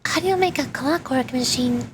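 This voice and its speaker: High-pitched, playful woman streaming a game. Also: normal speed, slight background noise. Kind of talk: casual livestream chat while gaming. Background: game music.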